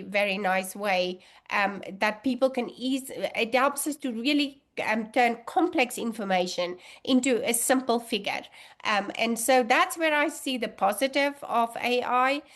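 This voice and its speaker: A woman's voice: fairly high pitch, fairly bright tone. A middle-aged woman whose first language is Afrikaans, talking casually as an interview guest with many fillers and restarts. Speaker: guest allergy researcher.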